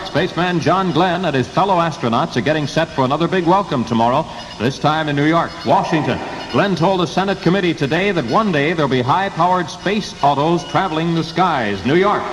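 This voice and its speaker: A man's voice: fairly high pitch, lively intonation, brisk, a bit bright. A man with an American accent reading a scripted 1960s radio news bulletin in clipped headlines. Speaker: radio newsreader. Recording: 1960s radio aircheck, hissy, long reverb.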